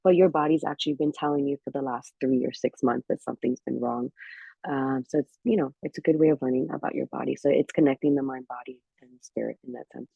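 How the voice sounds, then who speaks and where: A woman's voice: very deep voice, steady tone, quick pace, gravelly, dark tone, slightly rough, no echo. A woman who works as an acupuncturist, speaking as a podcast guest and explaining things earnestly, often restarting her phrases. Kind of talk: explanatory conversational chat. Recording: dull lo-fi dry sound.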